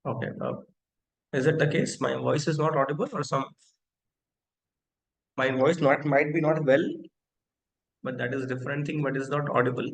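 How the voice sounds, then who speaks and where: A man's voice: medium pitch, fairly even intonation, normal speed, creaky, long pauses, echoey room, low-quality recording. A man in his thirties hosting a live online technical lecture, talking interactively with many fillers and often asking the audience questions. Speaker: webinar host and company founder.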